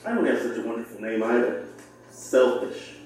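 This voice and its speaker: An actor playing a pompous, ambitious young class politician in a theatrical audio drama, declaiming formally. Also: male voice, mid-range voice, lively intonation, normal speed, a little mellow, natural voice. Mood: self-important. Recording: drama playback in echoey room, distant.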